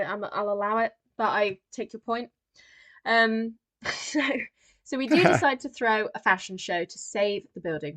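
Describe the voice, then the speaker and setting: Bright-voiced woman chatting casually. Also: medium pitch. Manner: chatty, wry, swears casually. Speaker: podcast co-host. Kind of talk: casual film discussion chat.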